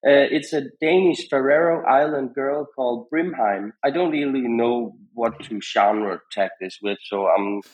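A man speaking as a podcast guest, giving conversational advice in a halting, rambling way with repeated phrases. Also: mid-range voice, low-quality recording. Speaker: podcast guest, music industry founder.

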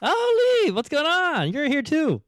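A man's voice: very high voice, measured pace. A young man from Ireland with a Dublin accent tinged by American TV, co-hosting a podcast in a chatty, giggly way. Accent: Dublin Irish with an American tinge. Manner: chatty, giggly, animated storyteller. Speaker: podcast co-host. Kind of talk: casual podcast chat.

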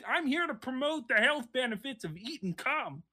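A chirpy, leering cartoon frog puppet with a high, nasal voice that bounces all over the place, as a young man puts him on for laughs. Words come out slow and stretched. The tone is bright and sharp. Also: big pitch swings, husky, heavily acted. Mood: gleeful crude.

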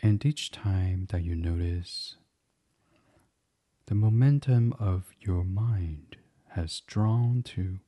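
A man guiding a bedtime meditation as a podcast host, speaking softly and soothingly in an unhurried, hushed, breathy way. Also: deep voice. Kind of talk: guided meditation, gentle bedtime reading.

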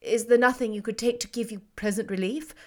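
A woman reading the part of an earnest young gentleman in an audiobook, speaking gently and gravely. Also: on the high side, steady tone, brisk, close to an everyday voice. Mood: gentle concern.

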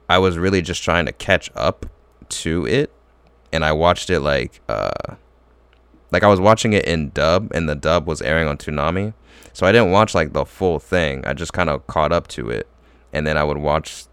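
Young male co-host, very deep and resonant, loose filler-heavy storyteller, dry mic. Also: expressive, moderate pace, gravelly, fairly bright tone, clear, resonant tone, dry recording. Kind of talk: casual chat.

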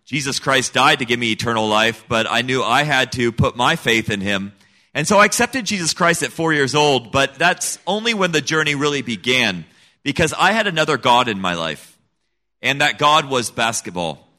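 Earnest bright-voiced middle-aged man. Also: mid-range voice, animated, normal speed. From Senegal. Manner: earnest, emphatic storytelling. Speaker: guest, missionary kid. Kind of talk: personal testimony in an interview.

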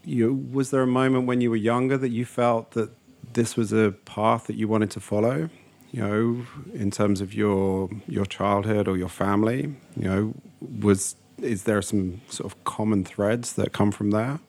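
A man's voice: fairly low pitch, fairly even intonation, normal speed, darkish tone. A man interviewing a guest, asking long, rambling questions padded with "you know". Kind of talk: conversational interview.

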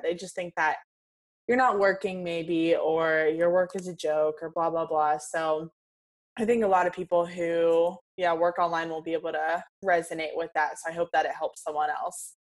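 Affirming young woman hosting a podcast. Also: lowish voice. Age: young adult. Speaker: podcast host. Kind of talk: casual interview chat.

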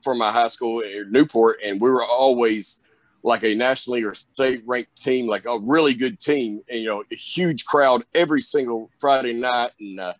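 A young man with a Southern drawl talks loosely through an interview, circling back with plenty of "you know"s. His voice sits at a middle pitch, and the sound is dry and close with no room around it. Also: moderate pace, dry recording. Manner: rambling, lots of fillers, drawling. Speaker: wrestler guest. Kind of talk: casual interview chat.

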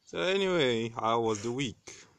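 A man co-hosting a casual podcast about manga and anime who talks excitably, teases his co-host and swears freely. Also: medium pitch, measured pace, no echo. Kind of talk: casual chat.

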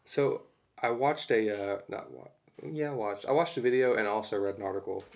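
A laid-back man chatting on a podcast, his voice on the low side. He rambles through his thoughts with easy chuckles. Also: normal speed, creaky, darkish tone. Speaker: podcast co-host. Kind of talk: casual chat.

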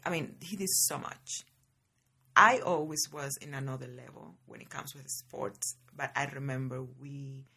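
A woman hosts her own podcast, telling stories from her childhood. Her voice sits low and comes out husky and a little breathy. She chats in a lively, casual way and keeps calling her listeners guys. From Cuba. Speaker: podcast host. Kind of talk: casual personal storytelling.

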